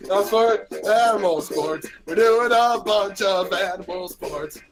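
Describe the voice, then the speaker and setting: A woman's voice: mid-range voice, measured pace. A woman improvising repetitive chanted lines for a comic live dub, with music mixed under the voice. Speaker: fandub voice actor.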